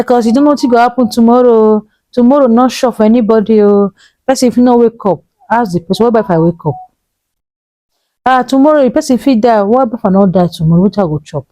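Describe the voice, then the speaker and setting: Earnest Nigerian woman with a dark voice. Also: brisk, dry recording, rough recording. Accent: Nigerian English. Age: 30s. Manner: pleading and repetitive, drops to a low hush on key points. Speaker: vlogger giving money advice. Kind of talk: earnest motivational talk to camera.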